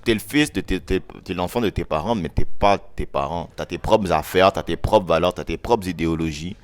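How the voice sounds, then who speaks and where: A man's voice: sits low, fairly quick. A young man from Quebec with a Quebec French accent, a podcast guest explaining his view and often checking in with his listeners. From Quebec, Canada. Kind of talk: casual chat.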